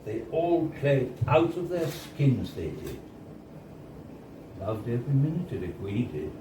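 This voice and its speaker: A man reads a first-person story aloud at a live event in a bar, in a measured storytelling manner with deliberate pauses. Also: medium pitch, very animated, dark tone. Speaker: reader of a short story. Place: indoors at a bar, live reading. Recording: roomy, distant, noisy live bar recording. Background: clear background noise and room ambience.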